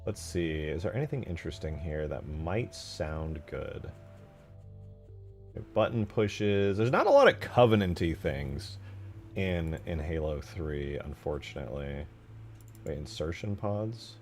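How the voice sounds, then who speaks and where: A man's voice: extremely low pitch, sing-song, measured pace, a little mellow, soft background music. A man chatting casually as a streamer at work, thinking aloud in informal, slangy fragments with casual swearing. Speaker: game modder streaming their work. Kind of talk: casual livestream chat while working.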